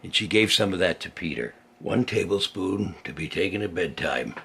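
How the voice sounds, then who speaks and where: An older man, a grandfather reading a children's story aloud in a folksy, chatty way, with a gravelly, husky voice and simple asides as if to a small child. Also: low-pitched, animated, normal speed, sharp tone.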